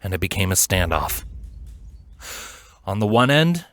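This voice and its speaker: A man's voice: sits low, very animated, a little slow, a bit bright. A man reading a fantasy story aloud as a dramatic scripted narration. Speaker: fan fiction narrator.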